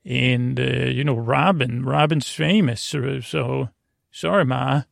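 A man with a creaky voice hosting a sleep podcast, rambling in a lulling, meandering, halting way. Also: mid-range voice, fairly even intonation, dry recording, clean studio sound. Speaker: podcast host.

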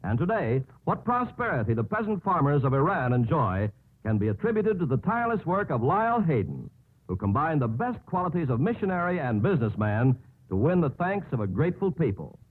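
A man's voice: on the high side, sing-song, fairly quick, breathy edge. An American man presenting scripted 1950s radio narration in a formal, declamatory manner. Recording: muffled narrowband old radio recording.

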